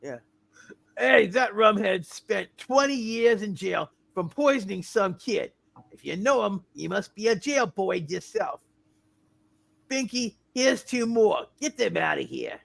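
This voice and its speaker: A woman voicing a surly, bullying old-time barkeep with a gravelly snarl in an amateur comic play reading. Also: medium pitch, expressive, unhurried, exaggerated voice. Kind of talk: hammed-up amateur puppet-show play reading.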